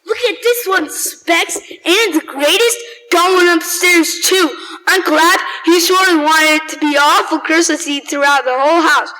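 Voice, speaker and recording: A woman acting as an excitable, boastful young urchin boy in a radio drama, with folksy, slangy speech. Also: very high voice, unhurried, heavily acted. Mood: boastful, cocky. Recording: roomy hall with long reverb.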